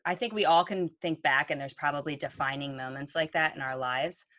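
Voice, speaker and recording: Low-voiced woman in earnest reflective talk. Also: brisk, a bit bright, no room sound. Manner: earnest, reflective, with frequent ums. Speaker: podcast co-host. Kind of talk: conversational podcast talk.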